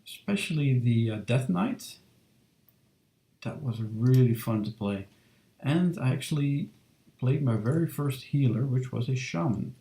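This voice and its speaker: Mellow gravelly-voiced man chatting while drawing. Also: mid-range voice, roomy sound. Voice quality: soft, relaxed and unhurried. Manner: chatty, lots of fillers, reads chat. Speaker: streamer drawing fan art. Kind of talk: casual live-stream chat while drawing. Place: home studio room.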